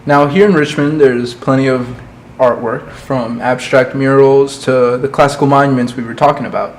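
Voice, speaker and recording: A man's voice: medium pitch, fairly even intonation, moderate pace, poor audio. Young man asking interview questions.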